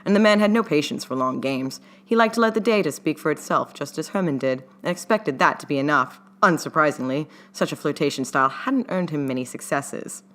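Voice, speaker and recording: A woman with a low-pitched voice narrates fiction from a script. She reads as the author of the story, in the manner of an audiobook. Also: animated, brisk, few pauses, a bit bright. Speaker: author reading own fan fiction.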